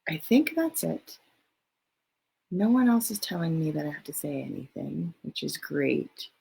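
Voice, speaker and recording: A woman hosting a children's story time, reading aloud and chatting informally, often thinking out loud as she goes. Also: sits low, very animated, a little slow, creaky, husky, rough recording. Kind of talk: reading a picture book aloud, then casual chat to children.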